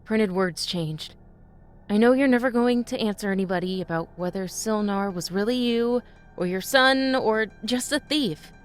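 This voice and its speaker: An actress playing a determined, inquisitive devotee of a trickster god in a fantasy audio drama, speaking earnestly and directly. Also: female voice, medium pitch, normal speed, clear, resonant tone, natural voice. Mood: concerned. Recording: music bed under the voice.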